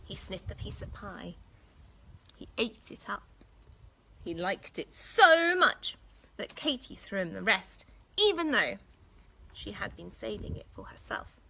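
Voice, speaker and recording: A woman reading a storybook aloud for young children in an expressive, deliberate teacherly way. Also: mid-range voice, gravelly, breathy edge. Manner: animated, deliberate, pausing to ask questions.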